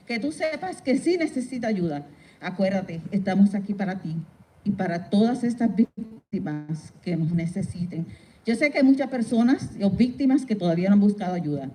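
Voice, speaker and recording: A woman's voice: medium pitch, lively intonation, fast talker, warm tone, rough recording. A woman giving a heartfelt public address, appealing directly to her listeners. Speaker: crisis counselor, bilingual speaker. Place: speaking at a public event.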